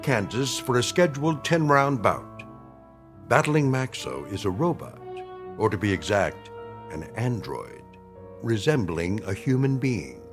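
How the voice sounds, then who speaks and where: A man giving scripted, measured dramatic narration for a radio play. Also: medium pitch. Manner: measured, ominous, with hushed endings. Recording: radio drama with music bed.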